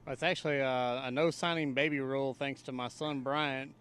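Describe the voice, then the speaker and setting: A man, a sports fan, giving halting, plain-spoken answers in a casual interview. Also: mid-range voice, fairly even intonation, fairly bright tone, clean tone.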